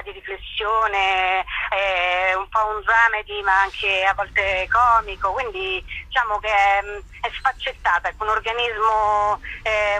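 A woman's voice: mid-range voice, pushed and bright, dry recording. A woman being interviewed by telephone, talking casually and hesitantly as she searches for her words. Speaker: actress, interview guest. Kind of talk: casual spoken interview over the phone. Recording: phone call to a radio show.

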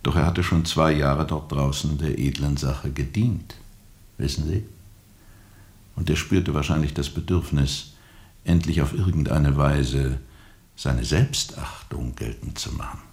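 An actor playing a weary, bitterly ironic steamboat captain in a radio play, speaking deliberately and sardonically with weighty pauses. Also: male voice, rumbling low, darkish tone. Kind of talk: radio play acting, testimony under questioning.

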